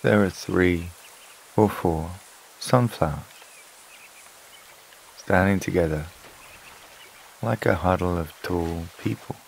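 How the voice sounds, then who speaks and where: A man narrating a scripted sleep meditation in a calm, soothing, unhurried way, with long pauses between phrases. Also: sits low, gravelly, slight background noise, rough recording. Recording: water and rain sounds behind voice. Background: flowing stream, rain-like ambience.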